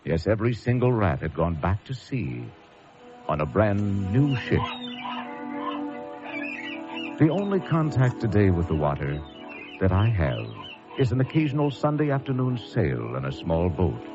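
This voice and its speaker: An actor playing a haunted former lighthouse keeper, telling a horror story with suspenseful pauses in a radio drama. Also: male voice, fairly low pitch, sing-song. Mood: grim, chilled. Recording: muffled old radio broadcast over loud music.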